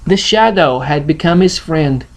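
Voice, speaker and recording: Russian-accented man reading slowly, with swooping intonation. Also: on the high side, lively intonation, rough recording. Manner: non-native grammar, halting, playful delivery. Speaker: host reading a picture book aloud. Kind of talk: storybook reading and casual chat to camera.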